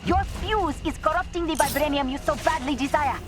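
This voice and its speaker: An actress playing a clever, defiant young princess with an African accent in a dramatic video game. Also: female voice, sits high, a little fast, heavy background noise, natural voice. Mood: urgent. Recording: loud game score behind.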